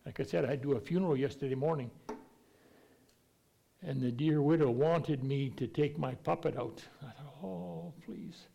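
A man's voice: on the high side, moderate pace, vocal fry, dark tone. A man preaching a conversational Bible teaching, folksy and plainspoken, with an occasional stutter and restarts.

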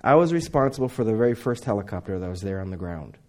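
A middle-aged man who grew up in Indonesia, preaching a sermon with dramatic swings between loud emphasis and near whispers. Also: on the low side, animated, quick pace.

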